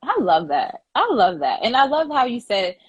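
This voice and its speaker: A woman host with a husky, slightly breathy voice warmly affirms her guest and then asks her next question. The recording is dry and close, with no room sound. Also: fairly high pitch, big pitch swings, moderate pace, no room sound. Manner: affirming, enthusiastic interviewer. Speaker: podcast host. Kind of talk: casual interview conversation.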